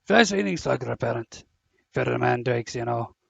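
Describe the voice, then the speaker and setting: A gruff, friendly groundskeeper acted by a man in an audio drama, his voice full of gravel. He talks in a folksy, casual way, and the recording is dry and a little dull. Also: medium pitch, lively intonation, moderate pace, a bit bright, no echo, full character voice. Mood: matter-of-fact, cautioning. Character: gruff friendly male groundskeeper with rustic speech. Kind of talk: fan audio drama acting.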